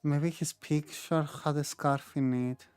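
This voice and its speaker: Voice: mid-range voice, steady tone, unhurried, a little mellow, clean tone, no room sound. A streamer giving casual, laid-back commentary on a game in short muttered reactions. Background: game music.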